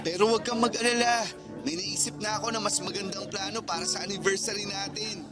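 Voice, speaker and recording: Actor as a very high-pitched pleading boyfriend. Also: male voice, steady tone, fairly quick, music in the background, close to an everyday voice. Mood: apologetic, pleading. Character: eager, apologetic young boyfriend on a call. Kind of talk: radio drama dialogue.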